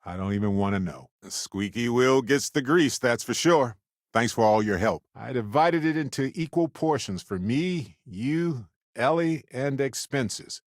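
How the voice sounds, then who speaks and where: A man acting as a good-hearted, wisecracking space explorer in a video game. His voice swings freely up and down, chatty and wry. It sits at a medium male pitch and is recorded dry and close, with very little room. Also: unhurried, dry recording, natural voice. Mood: playful, wry. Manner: wry, chatty, playfully expressive. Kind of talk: video game character dialogue.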